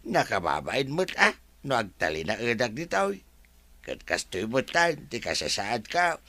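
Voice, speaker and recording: An actor playing an older male household servant in a radio drama, humble and halting, speaking deferentially to his employer. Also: medium pitch, wildly swooping pitch, bright, forceful tone, no room sound, full character voice. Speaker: servant character.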